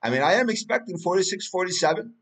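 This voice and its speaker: A man's voice: high voice, animated, fast, fairly bright tone. A man, a Turkish speaker, talking through a price chart in casual analysis, rambling with many fillers and restarts. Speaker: crypto market analyst.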